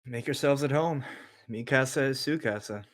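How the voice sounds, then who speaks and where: A man's voice: mid-range voice, normal speed, lightly acted. A man playing a slangy, enthusiastic, goofy teenage boy, the loyal best friend, at an amateur script table read. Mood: casual.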